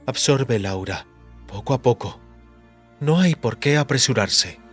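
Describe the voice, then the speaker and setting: A man voicing a stern, sarcastic high priestess who speaks brusquely and with authority. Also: lively intonation, a little slow, faint music behind, lightly acted. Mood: calm, instructing.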